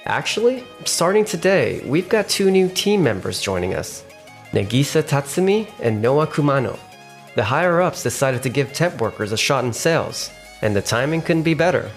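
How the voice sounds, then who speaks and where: An actor voicing a composed man in charge of a sales team. He sounds firm yet polite, with measured, businesslike delivery over light background music, as in a manga dub. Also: medium pitch, big pitch swings. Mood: calm, resolute. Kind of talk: manga dub acting and narration.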